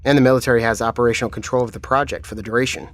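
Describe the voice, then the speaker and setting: Composed military commander, played by a man, measured, mid pitch. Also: background noise, close to an everyday voice. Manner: calm, measured, matter-of-fact. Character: calm, commanding male military chief. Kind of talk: audio drama acting.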